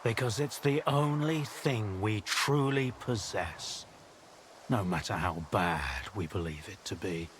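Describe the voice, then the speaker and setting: An actor playing a weary, protective male doctor in a video game, talking in a grave and measured way. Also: on the low side. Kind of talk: video game cutscene acting. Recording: noisy outdoor ambience, insect-like buzzing.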